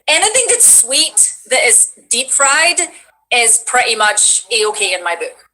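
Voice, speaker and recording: A woman's voice: on the high side, measured pace, low-quality recording. A woman from Scotland with a Scottish accent, a voice actress chatting as a guest over a video call and telling stories with lots of fillers. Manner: chatty, animated storytelling with fillers. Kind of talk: casual stream chat.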